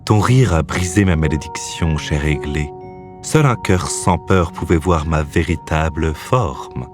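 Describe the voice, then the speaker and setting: A man voicing a gentle, courtly serpent prince in a fairy-tale cartoon, speaking formally and solemnly. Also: extremely low pitch, a little slow, over music. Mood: solemn, tender.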